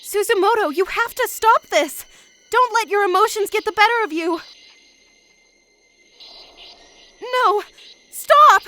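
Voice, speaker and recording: A woman's voice: very high voice, animated, moderate pace, bright, forceful tone, full character voice. A woman voicing an earnest, emotional teenage schoolgirl in an anime-style game dub. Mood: desperate.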